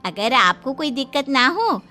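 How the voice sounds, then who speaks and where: A woman playing a middle-aged mother-in-law in charge of a big cartoon family, measured and authoritative. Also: high-pitched, big pitch swings, sharp tone, soft background music, heavily acted. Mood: agreeable. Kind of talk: Hindi cartoon dialogue.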